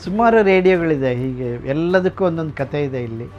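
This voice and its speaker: Higher-voiced man, dull lo-fi recording. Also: lively intonation, a little fast. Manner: conversational, mixes in English words. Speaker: radio collector and museum keeper. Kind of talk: informal explaining and storytelling about a collection. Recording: dull lo-fi sound, faint music behind.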